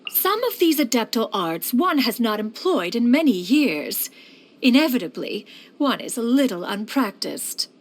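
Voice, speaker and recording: A proud immortal adeptus, a refined lady inventor from a video game. Her voice sits fairly high and moves with very lively, sweeping intonation. She phrases everything formally and archaically, with a haughty, cultivated air. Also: full character voice. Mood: composed, reflective. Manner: formal, archaic, haughty, calls herself "one". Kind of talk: scripted video game character voice lines.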